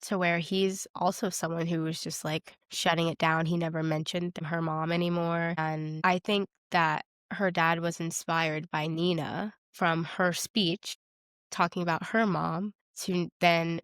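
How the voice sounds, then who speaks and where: A woman's voice: lowish voice, steady tone, measured pace, a bit bright, clear, resonant tone, dry recording, clean studio sound. A woman co-hosting a podcast, chatting casually about film in an informal, emphatic way with plenty of "like". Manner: informal, emphatic, often says like. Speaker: podcast co-host. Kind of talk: casual film discussion chat.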